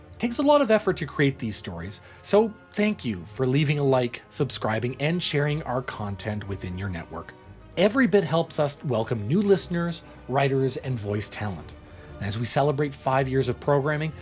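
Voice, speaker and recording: Male channel host for credits and sign-off: very varied intonation, medium register, soft music bed. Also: medium pitch. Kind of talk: scripted outro announcement.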